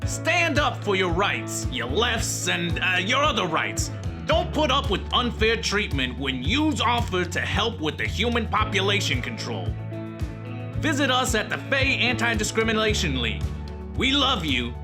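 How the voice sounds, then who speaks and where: An actor playing an aggrieved fey creature pitching legal help in a theatrical parody radio advert. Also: male voice, sing-song, measured pace, over music. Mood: indignant salesmanship. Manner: theatrical, salesman-like.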